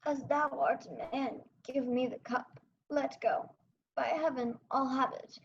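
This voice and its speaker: A woman's voice: sits high, fairly even intonation, a little slow. A young woman playing a resolute, brooding young prince in a Shakespearean radio play. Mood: dying, pleading. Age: young adult. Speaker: student actor. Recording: muffled, noisy home recording.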